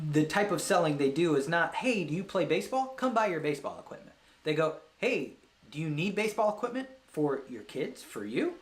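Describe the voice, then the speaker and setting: A man hosting a business book read-along, explaining ideas casually and thinking aloud in a rambling way. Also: on the high side. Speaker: host of a business book read-along show, web agency owner. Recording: roomy, echoey sound.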